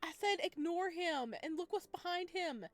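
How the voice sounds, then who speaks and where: A woman giving casual, expressive and playful let's-play commentary as she reacts to a visual novel. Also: extremely high pitch, normal speed, dry recording. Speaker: gamer playing a visual novel. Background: music.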